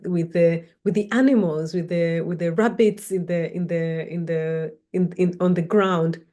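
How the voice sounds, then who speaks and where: A woman from Kenya speaks in a measured, reflective way, introducing herself as a researcher and storyteller in a personal talk. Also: fairly low pitch, warm tone. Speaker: academic researcher and guest speaker.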